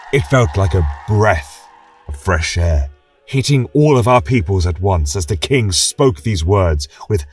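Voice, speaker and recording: A man's voice: sits low, darkish tone, slightly rough, distant mic. A man narrating a scripted fantasy tale in a solemn, dramatic manner. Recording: echoey room, music behind. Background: choral music.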